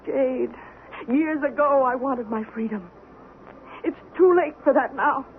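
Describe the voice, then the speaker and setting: Through a muffled, hissy vintage radio recording, a haunted middle-aged wife. Her high voice is theatrical and reproachful, weighted with years of bitterness. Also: a little slow. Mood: sad, hesitant. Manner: dramatic, reproachful, hesitant. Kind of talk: old-time radio drama acting.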